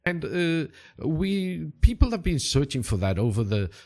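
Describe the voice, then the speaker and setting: Friendly middle-aged riverboat captain played by a male storyteller, eager, repetitive, lilting put-on Portuguese accent, swooping high pitch. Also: sing-song, measured pace, dark tone. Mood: chatty, explaining. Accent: put-on Portuguese, Italian-sounding.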